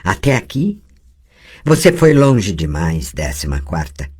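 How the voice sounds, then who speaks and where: A man's voice: low-pitched, very animated, measured pace, a little mellow, heavily acted. A man reading an audiobook and voicing a cold, metallic, inhuman presence that speaks with cruel scorn. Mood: menacing. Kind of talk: audiobook character voice.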